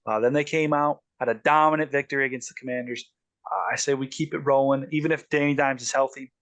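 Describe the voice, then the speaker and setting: A man hosts a casual sports chat, his voice at an ordinary pitch. He rambles easily, with plenty of ums and restarts. The room sounds dry and close, with no echo. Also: fairly even intonation, quick pace, fairly bright tone. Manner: loose and chatty, many ums and restarts. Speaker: podcast host.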